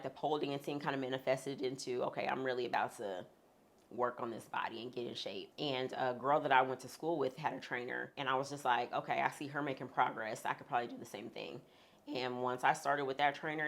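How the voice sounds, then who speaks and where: Her voice sits extremely low and has a gravelly rasp to it. She is a woman being interviewed and talks frankly and conversationally, with a few humorous asides. Her husky tone stays steady throughout. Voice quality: deep, husky voice. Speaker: interview guest. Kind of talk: candid sit-down conversation.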